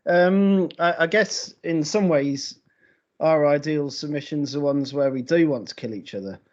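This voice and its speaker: A man's voice: fairly high pitch, measured pace, a little mellow, muffled recording. A man, a poetry magazine co-editor, speaking wryly on a panel with frequent stammering restarts. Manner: wry, self-deprecating, stammering restarts. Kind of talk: panel discussion, casual.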